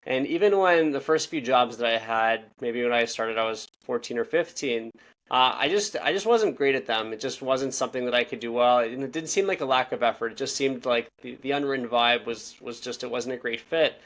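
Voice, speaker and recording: A young man in his twenties, originally from Israel, talking as a podcast guest. He speaks quickly in a loose, rambling way, often circling back to correct himself. His pitch sits in a middle range. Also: fairly bright tone. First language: Russian. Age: 20s. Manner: fast, rambling, self-correcting. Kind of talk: casual interview talk.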